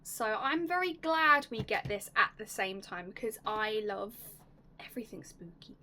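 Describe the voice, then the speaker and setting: A young woman hosting a casual unboxing vlog, chatty and enthusiastic, her voice slightly raspy and going hoarse. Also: medium pitch, lively intonation, unhurried, a little hiss or hum. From United Kingdom. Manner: chatty, enthusiastic, drops to soft whispers at times. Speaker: YouTube host.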